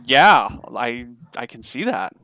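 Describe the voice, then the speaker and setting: A man running a tabletop game as game master, hoarse from a cold and losing his voice. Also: mid-range voice, very animated, slow pace, noisy background.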